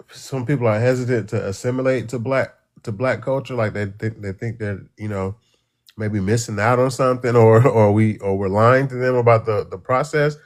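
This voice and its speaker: Man caller talking in halting restarts. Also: mid-range voice. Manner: halting, many restarts and fillers, earnest. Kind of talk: casual opinion on a call.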